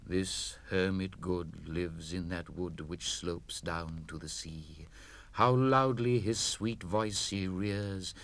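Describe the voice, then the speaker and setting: Slow, low-voiced haunted mariner. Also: male voice, exaggerated voice. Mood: awestruck. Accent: British. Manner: intense, measured, swinging from hushed to impassioned. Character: haunted old mariner, fearful and awestruck, recounting his voyage. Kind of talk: dramatic poetry recitation, 1960s spoken-word record.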